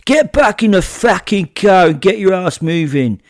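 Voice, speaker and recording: A man voicing a big angry macho driver in his late forties, yelling and jeering aggressively in a horror audio drama. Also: high-pitched, sing-song, moderate pace, no room sound, studio quality, faint music behind, voice pushed up. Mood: jeering rage.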